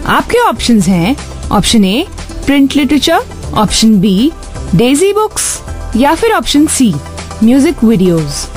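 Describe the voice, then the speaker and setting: High-pitched, animated Indian female radio presenter. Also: a little slow. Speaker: radio show co-host. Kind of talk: scripted radio presenting, quiz segment. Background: background music.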